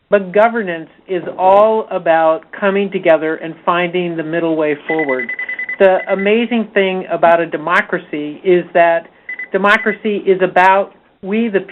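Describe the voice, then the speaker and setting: A woman's voice: lowish voice, a little hiss or hum. A woman leading an advocacy organization, speaking informally and emphatically on a conference call in an earnest, rallying way. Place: conference call from a meeting table. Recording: tinny phone-line conference call.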